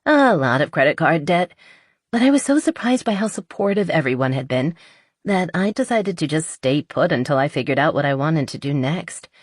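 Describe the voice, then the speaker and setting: A woman's voice: sits low, lively intonation, normal speed, dry recording, clean studio sound. A woman voicing a playful, chatty, impulsive young woman who is a little tipsy, in a romance audiobook. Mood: warm, candid.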